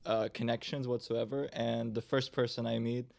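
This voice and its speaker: Gravelly-voiced Russian-born young man chatting. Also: mid-range voice, flat delivery, a little mellow. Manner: loose and chatty, many fillers, quick laughs. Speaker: band guitarist and singer, podcast guest. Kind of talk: casual podcast chat.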